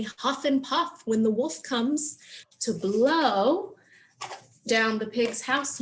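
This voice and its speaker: Slow, higher-voiced woman hosting preschool story time. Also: a little hiss or hum, rough recording. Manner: simple, encouraging explanations aimed at young children. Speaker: children's library program host. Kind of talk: preschool story time, songs and book talk.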